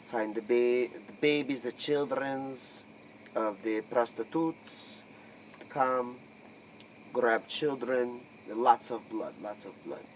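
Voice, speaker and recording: Man playing a slow-spoken vampire in halting broken English. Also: mid-range voice, natural voice. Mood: grave. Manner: halting broken English, terse, repeats phrases. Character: ancient male Transylvanian vampire of an elite clan. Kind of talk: improvised mock interview. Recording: noisy outdoor ambience with crickets.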